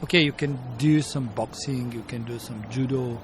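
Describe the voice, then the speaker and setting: A man in his fifties from Bulgaria, speaking English with a Bulgarian accent as a martial arts teacher being interviewed, pausing often to search for words. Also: mid-range voice, steady tone, noisy background. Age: 50s. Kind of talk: interview storytelling.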